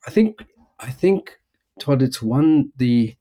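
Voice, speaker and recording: Halting man with South African accent. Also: medium pitch, very animated, mellow. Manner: halting, stammers and restarts, self-deprecating. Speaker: guest, missiologist. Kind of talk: conversational interview.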